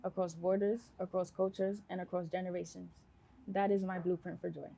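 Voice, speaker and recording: Low, even-voiced woman giving a speech. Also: vocal fry. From United States. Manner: measured, earnest delivery in short phrases. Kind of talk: prepared personal speech.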